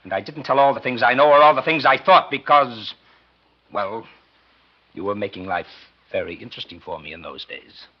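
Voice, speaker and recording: An actor playing a smug, scheming American blackmailer in a 1940s radio drama, talking in sly, insinuating slang with a husky voice. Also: male voice, medium pitch, moderate pace, close to an everyday voice. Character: smug scheming male blackmailer, fired insurance investigator. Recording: muffled 1940s radio recording.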